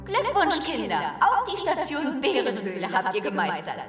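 An actress playing a congratulating announcer of a scare course in a children's radio play. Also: female voice, on the high side, huge pitch swings, moderate pace, soft background music. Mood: congratulatory. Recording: distant, very echoey hall-like announcement.

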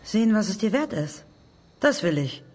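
Grobe, drohende Erpresserin, sehr lebhafte Melodie. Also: female voice, medium pitch, very animated, normal speed, long reverb tail. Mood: menacing. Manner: taunting, loud, confrontational. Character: coarse, menacing female blackmailer full of hatred. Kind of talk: German radio drama acting.

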